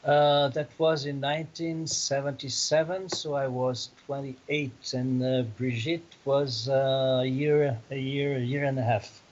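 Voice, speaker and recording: Older male guest, mid pitch, halting speech, dull video call sound. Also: little pitch movement. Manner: halting, laughs between phrases.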